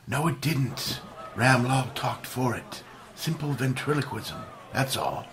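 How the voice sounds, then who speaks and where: An actor playing a suave, clever amateur detective, pleased with himself, in 1930s radio drama style. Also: male voice, mid-range voice, takes it slowly, background noise, close to an everyday voice. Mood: breezy, smug.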